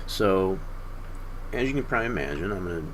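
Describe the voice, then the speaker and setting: A man streaming his 3D modeling work, talking through each step in an unhurried way with many fillers, hoarse and often clearing his throat. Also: low voice, lively intonation, noisy background. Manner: unhurried, filler-heavy thinking aloud. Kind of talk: casual screen-share tutorial.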